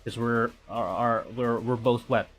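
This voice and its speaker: A man's voice: medium pitch, steady tone, unhurried. A man from the Philippines streaming a visual novel, reading the story aloud and muttering his own reactions in between. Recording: music behind the voice. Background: game music.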